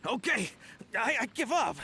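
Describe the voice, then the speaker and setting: Hapless, animated young thief. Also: male voice, extremely high pitch, normal speed, gravelly, very noisy, exaggerated voice. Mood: flustered. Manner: hesitant, stammering, folksy slangy speech. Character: hapless young male apprentice thief, dim but eager. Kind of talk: English video game voice acting. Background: game music.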